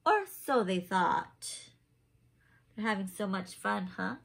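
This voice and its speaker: A woman's voice: mid-range voice, animated, slow, vocal fry, a bit bright, breathy edge. A woman in her thirties reading a picture book aloud to children, adding simple questioning asides. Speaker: children's story time reader. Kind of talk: expressive picture-book read-aloud with asides to young listeners. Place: small home room, indoors.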